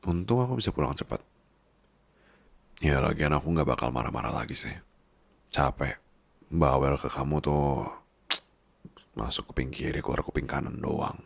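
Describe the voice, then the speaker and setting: Casting: male actor as nagging yet tender boss husband, extremely low gravelly voice, intimate ASMR roleplay. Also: lively intonation, moderate pace, long pauses, dry recording, full character voice. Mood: soft, grumbling. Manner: teasing, nagging, tender, slips into English. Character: bossy, scolding but doting big-baby boss husband.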